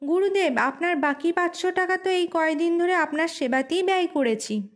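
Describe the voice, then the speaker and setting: A woman storyteller voicing a clever male disciple who talks calmly, politely and with deference. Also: extremely high pitch, normal speed, voice pushed up. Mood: calm, placating.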